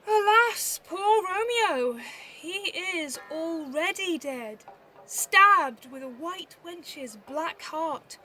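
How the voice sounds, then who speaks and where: High, bright, mocking Shakespearean wit, played by a woman. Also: expressive, very slow, exaggerated voice. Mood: theatrical relish. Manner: theatrical, teasing, swooping between loud flourishes and mutters. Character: witty, bawdy, mocking young Mercutio, played as a woman. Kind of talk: Shakespeare audio drama acting. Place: at home. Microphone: phone. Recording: home phone recording, faint noise.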